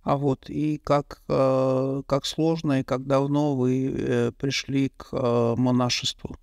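A middle-aged man hosting an interview, asking his questions haltingly with many fillers, into a studio mic. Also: mid-range voice, little pitch movement, creaky, a little mellow, no echo. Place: bright indoor room, interview set. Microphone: studio mic on a boom.

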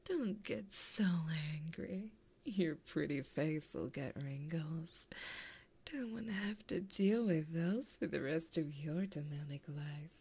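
A seductive demon woman played by a woman whispers in an ASMR roleplay, right up at a binaural microphone. Her voice is soft and full of breath, and she draws her words out extremely slowly. She is flirty and possessive, taunting the listener with intimate teasing. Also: low-pitched, slightly rough, heavily acted. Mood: smug, possessive. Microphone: close binaural microphone.

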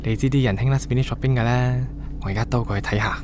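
Male actor as young adult son, mid-pitched, talkative and sincere radio drama delivery, clear humming noise behind. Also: steady tone, quick pace, natural voice. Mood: chatty, teasing. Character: young adult son, chatty, earnest, nudging his father toward romance. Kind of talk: radio drama dialogue, conversational.

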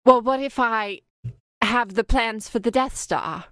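A woman's voice: a little high, measured pace, a bit bright, no echo, clean studio sound. A woman playing a dutiful but restless young daughter in an improvised comedy fan dub. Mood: hopeful.

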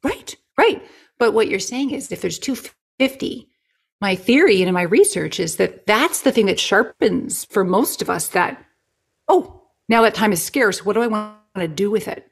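Animated woman with a gravelly edge. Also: mid-range voice, moderate pace. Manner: animated, expressive, explanatory. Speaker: guest, well-being researcher. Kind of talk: enthusiastic conversational interview. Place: home living room. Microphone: headset over video call.

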